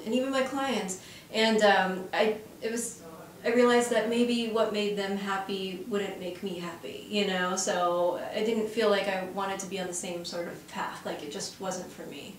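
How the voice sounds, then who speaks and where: A woman's voice: mid-range voice, steady tone, moderate pace, slight background noise. A young woman who grew up in Arizona, chatting as a guest about her career with lots of fillers and easy laughter, in her office. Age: young adult. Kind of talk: casual interview conversation. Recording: very echoey office from far off.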